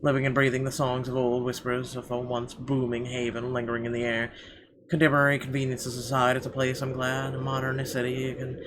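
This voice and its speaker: A man with a medium-pitched voice narrates a visual novel for viewers. The delivery is expressive and deliberate, like dramatic storytelling, with an occasional stumble. Noticeable game ambience and noise sit behind the voice. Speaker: gaming video host reading aloud. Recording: clear background noise behind voice.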